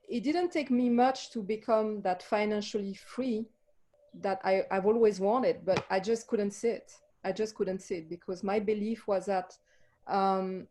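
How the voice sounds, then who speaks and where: French-accented woman, mellow voice. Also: mid-range voice. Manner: passionate, reflective, with many ums. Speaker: life coach and guest. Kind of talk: casual interview chat.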